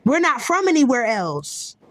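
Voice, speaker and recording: A woman's voice: sits high, very animated, a little slow, fairly bright tone. A woman giving a heated, profane, unscripted rant to her viewers, blunt and repetitive, often restarting her sentences. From United States. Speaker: vlogger.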